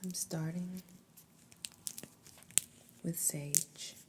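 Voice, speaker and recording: A woman whispering a guided ASMR meditation in a breathy, soothing, deliberate way, pausing between phrases. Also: lowish voice, fairly even intonation, background noise.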